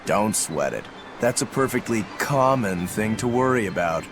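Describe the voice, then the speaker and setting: A woman's voice: a bass voice, expressive, moderate pace. A woman playing a teasing, laid-back adventurer in a video game cutscene. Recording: music under the voice.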